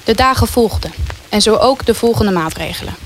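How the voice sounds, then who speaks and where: A woman's voice: on the high side, lively intonation, a little fast, slight background noise, rough recording. A woman reading scripted narration as the storyteller of a historical radio play.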